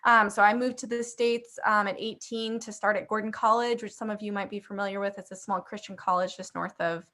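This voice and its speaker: Woman, 30s, host of a video call; bright, friendly, conversational, lots of "um". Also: mid-range voice, fairly even intonation, brisk. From Afghanistan and Pakistan. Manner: upbeat, conversational, many fillers. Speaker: host presenting a church software platform. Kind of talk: casual video call presentation.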